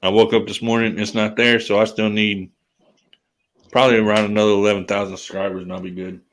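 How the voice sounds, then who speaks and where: A man hosting a livestream video chat, relaxed, chuckling and agreeing along with his guests. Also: on the low side, quick pace. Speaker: livestream host.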